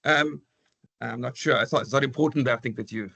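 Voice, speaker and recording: Croaky man with a gravelly, bright voice. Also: medium pitch, quick pace, no room sound. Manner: rambling, repeats words, critical tone. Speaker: seminar participant asking a question. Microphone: video call.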